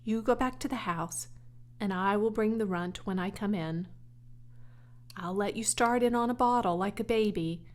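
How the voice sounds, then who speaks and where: A woman voicing a patient farmer father in a storybook, gently firm and measured, with dry formality. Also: mid-range voice, natural voice. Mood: relenting.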